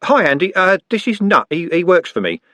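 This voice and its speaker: Cheeky young lad, brash banter, raised high voice, lively, put on by a male reader. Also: no room sound, exaggerated voice. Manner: brash, swearing, quick banter. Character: young male working-class football fan, cheeky.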